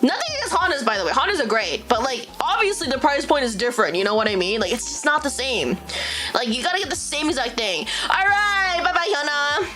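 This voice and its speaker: A young woman streaming casually, reading stories aloud and chatting with viewers, animated and quick to exclaim and swear. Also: high voice. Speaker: VTuber streamer. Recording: faint music behind.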